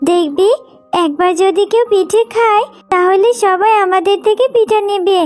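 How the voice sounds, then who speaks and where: Woman voicing a very high-pitched little fox girl. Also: moderate pace, a little mellow, faint music behind. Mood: earnest. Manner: earnest, childlike. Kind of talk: children's cartoon voice acting.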